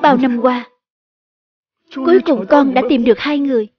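A woman reading a scripted voice-over dub for an animated series. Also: high-pitched, normal speed, slightly rough, no echo, dull audio.

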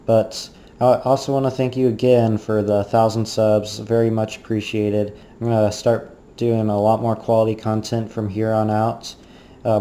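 A teenage male video creator with a fairly low, mellow voice. He talks casually to his viewers in a very even tone, indoors at home, with faint noise behind him. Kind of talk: casual outro to camera. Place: living room couch at home, indoors.

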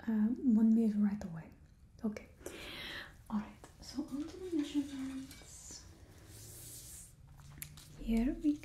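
Young woman playing a villain's stylist, breathy close whisper, fake Russian accent, slow and mellow. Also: a little high, exaggerated voice. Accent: put-on Russian accent. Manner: slow, gentle, instructing a client. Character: young female stylist to a supervillain, businesslike. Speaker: ASMR roleplay performer. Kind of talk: whispered ASMR roleplay. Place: small indoor studio with a painted backdrop.

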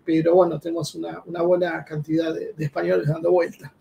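A man co-hosts a live interview and lays out its topics with many filler pauses. His voice is high and gravelly with a darker tone, and he sounds somewhat far from the mic, with faint background sound. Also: little pitch movement, warm tone. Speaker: co-host interviewer. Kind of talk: interview introduction. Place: home room.

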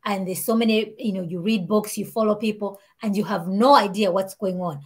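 Malawian woman, 30s, animated interview guest, video call from home. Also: medium pitch, fairly quick, breathy edge. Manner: animated, talks with her hands. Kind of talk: casual interview chat. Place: home room with shelves and warm lamp. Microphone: video call, earbuds.

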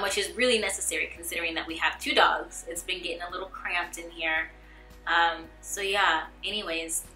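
A young woman vlogging casually to camera from her bedroom, chatty and excited, rambling with fillers. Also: medium pitch, roomy sound, rough recording. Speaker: lifestyle vlogger. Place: bedroom at home.

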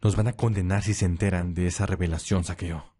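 A man acts out a cold vampire watchman in an audio roleplay. His voice sits low and dark, with curt, scornful delivery and a quiet menace. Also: fairly even intonation, a little fast, breathy edge. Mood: grim. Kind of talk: Spanish-language audio roleplay acting.

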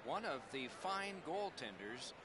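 A man's voice: a little high, big pitch swings, measured pace, noisy background. A man playing a polished, keen TV hockey announcer calling the action in a video game. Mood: measured, keen. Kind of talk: TV sports commentary. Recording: game audio under loud arena music.

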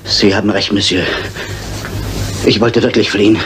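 An actor playing a frightened, pleading suspect, halting and anxious, in a German radio crime drama. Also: male voice, fairly low pitch, fairly even intonation, soft background music, close to an everyday voice. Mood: fearful, pleading. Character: frightened, pleading Frenchman under suspicion.

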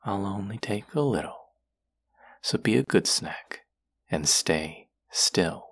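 A man's voice: low-pitched, lively intonation, takes it slowly, husky, exaggerated voice. A young man playing an irritable, predatory vampire college student in an intimate audio roleplay, curt, sarcastic and condescending. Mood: predatory, hushed menace.

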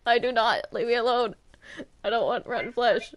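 A woman's voice: fairly high pitch, normal speed, muffled recording. A woman playing a multiplayer game, chatting casually and partly in character. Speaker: player in a Minecraft roleplay. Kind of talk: casual in-character gaming chat.

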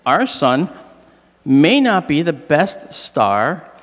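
A middle-aged man with a slightly hoarse, fairly high voice lectures on stage, joking with the crowd. His pitch swings widely as he talks into a handheld mic. The sound carries a long hall reverb. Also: extremely slow. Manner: animated, joking with the audience. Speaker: astronomy lecturer. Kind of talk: public lecture with jokes.

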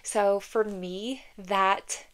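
A woman's voice: medium pitch, steady tone, drawn-out words, rough recording. A young woman vlogging casually about books from her home, a little congested from a cold, talking with lots of "like" and "um". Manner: chatty, frequent "like" and "um", reads blurbs aloud. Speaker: book vlogger. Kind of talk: casual chat and blurb reading.

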